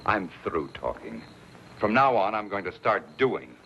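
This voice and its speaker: A man's voice: on the low side, big pitch swings, a little slow, fairly bright tone, exaggerated voice. An actor playing a resolute, plain-spoken American frontier man in a radio western, with a firm manner. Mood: stern. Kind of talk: old-time radio western acting. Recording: dull, faintly noisy radio recording.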